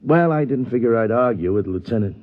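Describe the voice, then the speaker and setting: A man's voice: mid-range voice, animated, fast talker, close to an everyday voice. An actor playing an easygoing, plain-spoken male police sergeant in a vintage radio drama, casual and laconic. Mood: matter-of-fact. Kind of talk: 1950s radio drama acting. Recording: muffled old radio recording.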